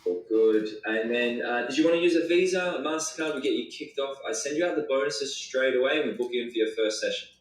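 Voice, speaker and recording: A man's voice: medium pitch, quick pace, few pauses. An easygoing, reassuring man making a casual sales call on a distant, echoey recording of a phone call. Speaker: gym membership salesperson. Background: played-back call recording.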